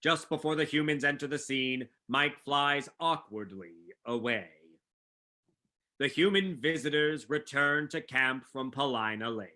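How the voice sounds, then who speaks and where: Bright, forceful male narrator. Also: medium pitch, measured pace. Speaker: narrator reading stage directions. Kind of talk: scripted narration.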